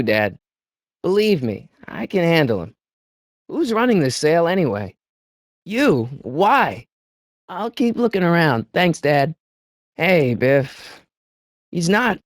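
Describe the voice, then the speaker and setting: A man's voice: dry recording, clean studio sound. A young man with an American accent voicing an earnest, nervous teenage boy in a video game, youthful in tone and hesitant, slangy in delivery. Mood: wary, insistent. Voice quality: youthful, high-pitched for a man. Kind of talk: video game character dialogue.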